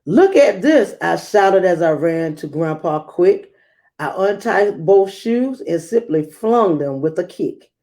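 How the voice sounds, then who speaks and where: A woman reading a rhyming children's picture book aloud, acting it out with theatrical emphasis and dramatic pauses. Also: lowish voice, lively intonation, dark tone. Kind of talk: expressive rhyming storybook read-aloud.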